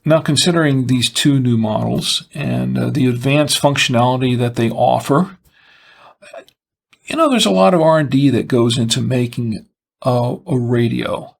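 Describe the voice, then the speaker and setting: A man with a medium-pitched voice presents a product rundown. He speaks as a reviewer working partly from a script, explaining details and itemizing them in measured, short phrases. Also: vocal fry.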